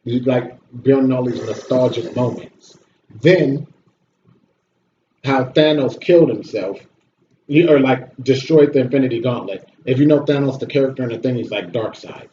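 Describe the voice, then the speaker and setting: A man's voice: mid-range voice, normal speed, mellow. A man giving a casual, opinionated movie review as a video host, blunt, complaining and swearing freely. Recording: roomy echo, dull audio, slight noise.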